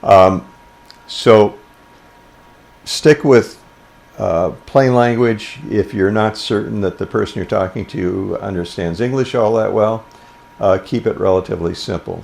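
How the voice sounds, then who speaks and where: An older man giving an informal instructional talk about a hobby, speaking plainly and with deliberate phrasing. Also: low-pitched, vocal fry, darkish tone. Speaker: amateur radio presenter.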